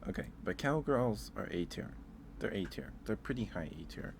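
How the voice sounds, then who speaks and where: A man's voice: on the low side, animated, normal speed, creaky, background noise. A man chatting casually with livestream viewers as host, musing aloud in an informal, hesitant way.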